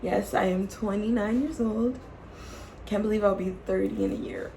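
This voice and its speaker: Playful gravelly-voiced young woman vlogger. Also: mid-range voice, steady tone, moderate pace, mellow, a little hiss or hum, rough recording. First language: English. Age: 20s. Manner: playful, teasing, laughs often; chatty, addresses viewers as y'all. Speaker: YouTube vlogger. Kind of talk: casual chat to camera.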